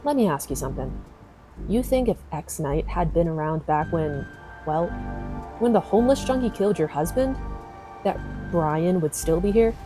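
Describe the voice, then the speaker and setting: A woman's voice: fairly low pitch, expressive, moderate pace, close to an everyday voice. A woman playing an earnest junior police officer in an audio drama. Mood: tentative, apologetic. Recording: music behind the voice.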